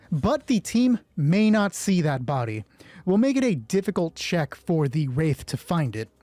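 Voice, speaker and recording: A man narrating a solo tabletop roleplay as its host, moving between hushed dramatic storytelling and chatty explanations of the dice. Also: on the high side, no echo.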